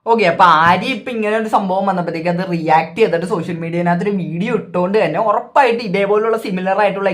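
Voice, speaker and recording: A reaction video host with a Kerala accent, giving opinionated, repetitive casual commentary. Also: medium pitch.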